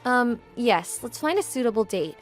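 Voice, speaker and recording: A woman's voice: on the high side, very animated, normal speed. A woman playing a composed, forward young businesswoman in a manga dub, speaking politely and matter-of-factly. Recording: background music under the voice.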